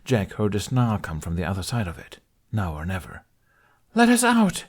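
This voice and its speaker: A man reading a fan fiction story aloud with steady, expressive storytelling. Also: low voice, brisk, husky. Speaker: podfic reader. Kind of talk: fanfiction audiobook narration.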